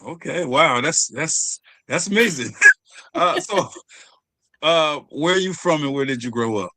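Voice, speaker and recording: A man's voice: fairly high pitch, big pitch swings, measured pace, vocal fry, pushed and bright, no room sound. A man with an American accent chatting informally and affirmingly as he hosts an interview. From United States. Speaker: podcast host. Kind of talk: casual interview chat.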